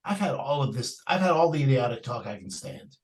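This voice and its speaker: A man's voice: mid-range voice, expressive, fairly quick, lightly acted. A man playing a stern, exasperated father in an old-time radio suspense drama. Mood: angry, worried.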